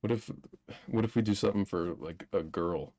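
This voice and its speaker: A man's voice: deep voice, a little fast, warm tone. A man co-hosting a live-streamed movie discussion in a casual conversational style. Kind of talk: casual chat, film commentary.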